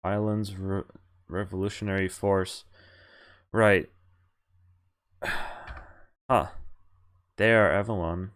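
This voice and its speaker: A man streaming a visual novel, reading the game's text aloud and breaking off for quick casual reactions and chuckles. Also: sits low, fairly even intonation, a bit bright, smooth. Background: faint game music.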